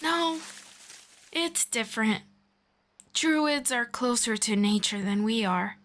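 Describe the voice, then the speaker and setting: A woman voicing a patient, gently formal female elf friend in an ASMR roleplay, speaking softly and politely. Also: fairly high pitch. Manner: soft, unhurried, politely formal.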